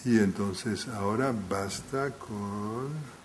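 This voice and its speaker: A man who is not a native speaker of the language gives a live lecture to an audience. He talks in a halting way, restarting phrases often as he thinks aloud. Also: fairly low pitch, a little hiss or hum. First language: not Spanish.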